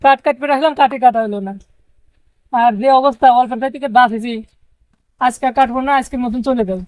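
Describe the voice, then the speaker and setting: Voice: medium pitch, fairly even intonation, normal speed, no echo, close to an everyday voice, poor audio. A performer playing a determined male woodcutter, practical and rustic. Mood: resolute.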